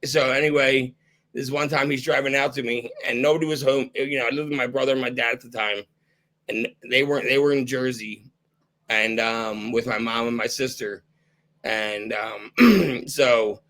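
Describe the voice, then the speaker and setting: A hoarse man who is losing his voice, hosting a podcast and chatting candidly in a loose, casual way. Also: medium pitch, normal speed, fairly bright tone. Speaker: podcast host.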